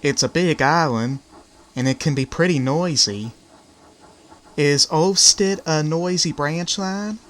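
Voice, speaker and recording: A man's voice: fairly high pitch, slow pace. A man voicing an earnest, literal-minded little male brake van in a children's railway story, precise in manner. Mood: nervous.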